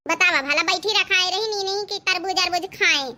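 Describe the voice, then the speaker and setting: A woman acts out a cheeky middle daughter-in-law from a village comedy cartoon. Her voice is extremely high and bright, with an Awadhi accent. She talks back in a brisk, bickering, scheming way. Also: heavily acted. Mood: coaxing, impatient.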